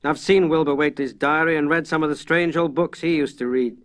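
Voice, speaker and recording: A man's voice: fairly high pitch, fairly even intonation, a little fast, fairly bright tone, voice pushed up, natural voice. A man voicing a decisive old librarian in a horror audiobook, urgent and reassuring as a leader. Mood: resolute, urgent. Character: resolute old scholarly librarian rallying frightened men.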